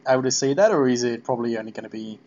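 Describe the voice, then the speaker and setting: Aussie guy doing a podcast interview, medium pitch, casual, bit muffled lo-fi sound. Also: racing, darkish tone. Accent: Australian. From Australia.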